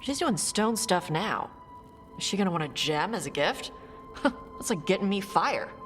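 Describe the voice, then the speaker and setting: A woman playing a blunt, brash young warrior with fire magic, talking gruffly and casually in a video game cutscene. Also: medium pitch, lively intonation, measured pace, soft background music, close to an everyday voice. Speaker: party companion.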